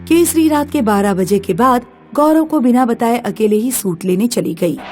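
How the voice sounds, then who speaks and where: A woman reads a scripted tale as narrator. Her voice is fairly high, with soft music underneath. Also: expressive, moderate pace. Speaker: story narrator.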